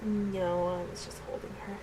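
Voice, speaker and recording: A woman's voice: medium pitch, flat delivery, normal speed, dark tone, slight background noise, poor audio. A woman co-streamer chatting casually. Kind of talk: casual chat.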